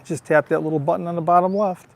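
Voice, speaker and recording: A man with a raspy, allergy-roughened voice chatting casually to viewers while walking outdoors, recorded on a handheld phone. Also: a little high, steady tone, fast talker, a little mellow, slight background noise. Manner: chatty, greets viewers by name. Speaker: livestream vlogger. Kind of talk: casual live walking tour. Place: outdoors on a town street.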